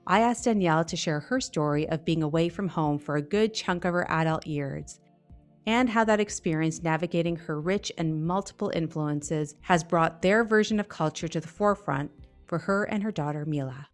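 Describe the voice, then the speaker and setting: Female podcast host, lowish voice, measured delivery, faint music underneath. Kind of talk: podcast narration and interviewing.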